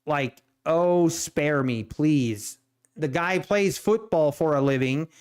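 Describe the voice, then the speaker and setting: Slow-talking man with a Swiss German accent. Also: a little high, clean tone. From Ohio. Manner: rambling, repeats himself, exasperated ranting. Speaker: day trading streamer. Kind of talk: casual live stream chat.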